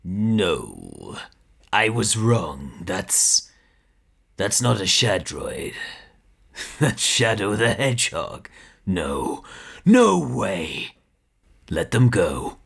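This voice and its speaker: A man's voice: low-pitched, huge pitch swings, very slow, creaky, long pauses, a bit bright, slightly rough, exaggerated voice. A man voicing an irritable, imperious mad-scientist villain in a fan audio drama, muttering and exclaiming. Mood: hesitant.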